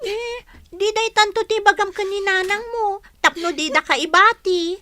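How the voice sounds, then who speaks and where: A woman's voice: extremely high pitch, normal speed, bright, forceful tone, dry recording. A woman playing an inquisitive young girl, a gossipy playmate, in a radio drama with a childlike manner.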